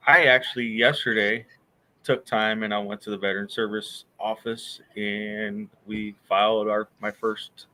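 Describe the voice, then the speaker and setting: A man talks casually on a podcast in an easygoing, rambling way and chuckles often. His voice sits low but sounds bright and forceful. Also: steady tone, measured pace, low-quality recording. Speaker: military veteran. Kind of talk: casual chat.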